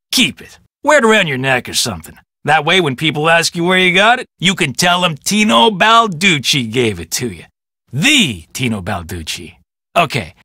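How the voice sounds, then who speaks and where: An actor playing a cocky, self-important police detective in a video game, boastful, glib and condescending. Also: male voice, on the high side, pushed and bright, dry recording, studio quality, full character voice. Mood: smug. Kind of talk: video game character dialogue.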